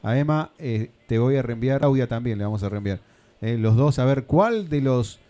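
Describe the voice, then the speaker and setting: A man's voice: mid-range voice, lively intonation, moderate pace, mellow. A man with an Argentine accent hosting a casual radio chat, rambling with many fillers. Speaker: radio host.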